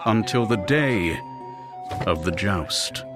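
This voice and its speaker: An actor playing an exasperated, principled supervillain in an audiobook drama, flustered and theatrical in manner. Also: male voice, on the low side, expressive, takes it slowly, over music. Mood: brooding. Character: exasperated, principled male supervillain with a false arm. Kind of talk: full-cast audiobook drama.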